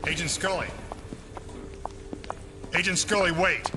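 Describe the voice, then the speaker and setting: A man's voice: sits high, takes it slowly, background noise. An actor playing a wary, stern senior male FBI official, grave and guarded, in an audio drama.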